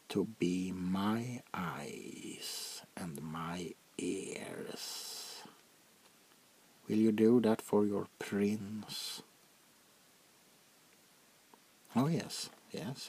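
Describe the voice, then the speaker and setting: A centuries-old vampire prince, acted by a man, murmurs in a very low, creaky half-whisper. He is haughty and quietly menacing, drawing out each command with slow condescension. It is a soft ASMR roleplay with audible noise behind the voice. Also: heavily acted. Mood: condescending, indulgent. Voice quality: gravelly, breathy half-whisper. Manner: slow, deliberate, condescending, drawn-out pauses.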